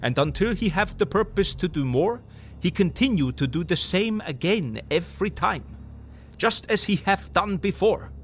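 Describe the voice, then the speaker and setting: An actor playing an older, earnest professor in an audio drama, lecturing emphatically in quaint broken English. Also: male voice, sits high, animated, moderate pace. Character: older male foreign professor, earnest, fervent, philosophical lecturer. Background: music.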